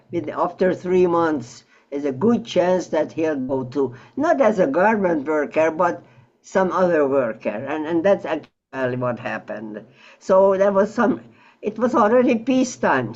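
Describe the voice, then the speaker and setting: An elderly woman recalling her life in an interview, halting and reflective as she searches for words over an online call. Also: deep voice, animated, darkish tone, highs cut off. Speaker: Holocaust survivor interviewee. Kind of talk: personal recollection in an interview. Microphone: video call.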